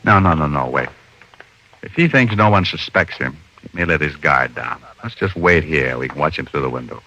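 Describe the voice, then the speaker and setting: An actor playing a confident, wry private detective with an American accent, reasoning aloud in an old radio drama. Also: male voice, extremely low pitch, lively intonation, moderate pace, bright, forceful tone, heavily acted. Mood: decisive. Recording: muffled old radio recording.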